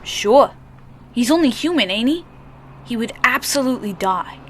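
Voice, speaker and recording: A woman's voice: a little high, animated, normal speed, slight background noise, lightly acted. A woman playing a naive, earnest little child with slangy street talk in an old-time radio play. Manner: plain slangy kid talk.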